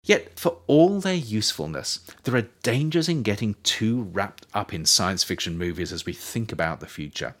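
A middle-aged man reading his own book as a scripted audiobook, in a measured, reflective, formal way. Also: fairly low pitch, expressive, fairly bright tone.

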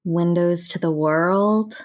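An actress playing a reserved, quietly earnest young map conservator in a romantic comedy audio play, hesitant and understated. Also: female voice, lowish voice, takes it slowly. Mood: shy, agreeable. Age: young adult. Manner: hesitant, understated, brief replies.

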